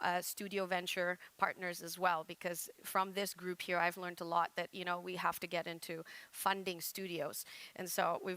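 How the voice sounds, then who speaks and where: A middle-aged woman from Malaysia giving a conference presentation, talking fast with lots of jargon, fillers and restarts. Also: lowish voice, pushed and bright, no echo. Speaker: conference speaker.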